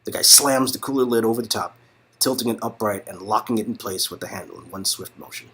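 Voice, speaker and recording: Husky man reading a story game. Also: on the low side, steady tone, quick pace, fairly bright tone. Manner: informal, jokey asides. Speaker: co-reader in a two-person game read-through. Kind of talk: narration of a visual novel mixed with casual banter.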